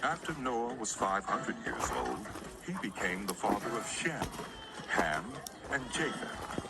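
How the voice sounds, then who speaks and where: A man's voice: deep voice, heavy background noise. A man reading a dramatized Bible narration as a scripted storyteller. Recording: music behind the voice. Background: prominent music.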